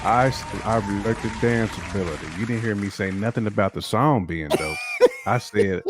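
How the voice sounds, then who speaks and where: A man's voice: lowish voice, normal speed, over music. A man on a podcast panel giving casual opinions in slangy, rambling speech, repeating himself for emphasis.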